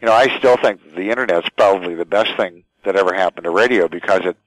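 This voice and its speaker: An older man answering interview questions thoughtfully and haltingly, often repeating words as he goes. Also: low-pitched, no echo, rough recording. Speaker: radio station general manager, interview guest.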